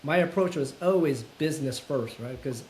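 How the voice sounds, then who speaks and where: A man's voice: on the high side, normal speed, a little mellow, slight background noise, rough recording. A man in his fifties, a guest in a casual interview, speaking thoughtfully and hesitantly with many fillers and restarts. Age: 50s. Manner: self-described introvert. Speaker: guest, full-time content creator.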